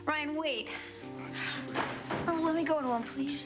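A woman's voice: high-pitched, measured pace, over loud music. A young woman acting in a daytime soap, flustered and apologetic, on a studio set. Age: young adult. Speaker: young woman after heart transplant.

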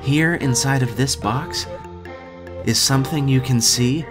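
A woman voicing a timid, gentle, small teenage schoolboy in an anime fan dub, speaking in a meek, earnest way. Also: extremely low pitch, measured pace. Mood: playful, secretive. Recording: background music under dialogue.

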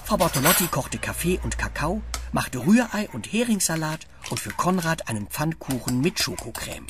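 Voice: mid-range voice, sing-song, normal speed, background noise. A narrator reading scripted storybook narration in a children's radio play.

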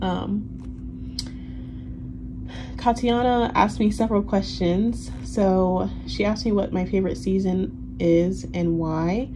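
A young woman in her twenties whose first language is Haitian Creole, chatting casually in a relaxed, rambling way full of ums and likes. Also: medium pitch, slow, over music, low-quality recording. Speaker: planner vlogger. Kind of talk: casual Q&A chat over a plan-with-me. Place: indoors at a desk. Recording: audible background noise.

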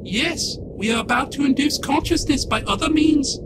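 A man's voice: extremely high pitch, moderate pace, heavy background noise. A man playing a haughty alien scientist in a comic dub, speaking in a formal, stilted and superior manner. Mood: formal, matter-of-fact.

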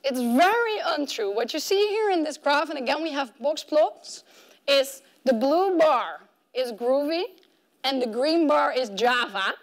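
A high, animated female voice from the front of a tech conference room, walking the audience through studies in a conversational way. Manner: animated, conversational, acts out imagined objections. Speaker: conference keynote speaker. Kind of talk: conference talk explaining research. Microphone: clip-on mic at the collar.

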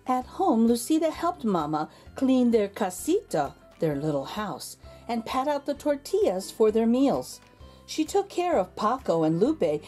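A woman narrating a children's storybook in an expressive, deliberate storytelling manner. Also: a little high, slightly rough. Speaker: children's read-aloud host.

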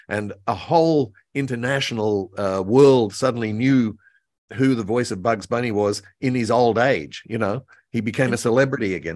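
An older man from Australia chatting casually as an interview guest, explaining things in a halting, rambling way. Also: lowish voice, no room sound, studio quality. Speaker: author and voice actor, guest. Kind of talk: casual interview chat, reminiscing. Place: home room, indoors, on a video call. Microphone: computer mic on a video call.

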